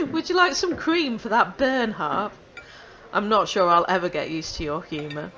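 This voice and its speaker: Woman playing a bright, sardonic young co-worker. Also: mid-range voice, expressive, a little slow, slight background noise. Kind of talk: audio drama dialogue. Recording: dull, lo-fi recording.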